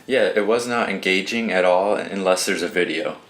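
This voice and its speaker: Low-voiced man in casual chat. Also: normal speed, a bit bright. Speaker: podcast co-host. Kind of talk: casual chat, video game discussion.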